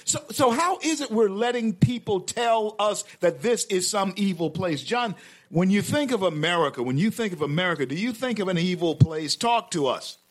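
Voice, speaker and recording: A man's voice: sits high, animated, moderate pace. A man hosting a talk show, interviewing a guest with emphatic, rising swells of delivery.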